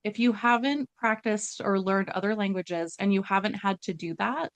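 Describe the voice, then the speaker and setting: A woman's voice: medium pitch, steady tone, moderate pace, no echo. A woman hosting a book interview, enthusiastic and full of praise for her guest. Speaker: podcast host.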